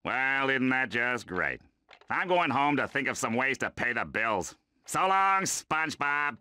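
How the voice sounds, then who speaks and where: A sour, bored sea creature drawls sarcastically in a nasal, medium-pitched voice. It is dismissive cartoon game acting, with noticeable noise behind it. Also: male voice, measured pace, exaggerated voice. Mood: bored, sarcastic. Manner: deadpan, dismissive.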